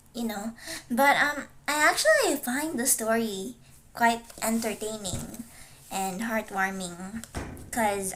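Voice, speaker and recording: A woman's voice: mid-range voice, fairly bright tone, slight background noise. A teenage girl whose first language is Chinese, chatting casually on a livestream while she studies, rambling and hesitant, with many fillers and self-corrections. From Philippines. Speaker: vtuber streamer.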